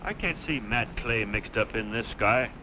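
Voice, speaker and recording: A man's voice: on the low side, moderate pace, noisy background, lightly acted. Plain-spoken country sheriff, played by a man, muffled recording. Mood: businesslike. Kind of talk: old-time western radio drama acting. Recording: muffled vintage radio recording, loud music.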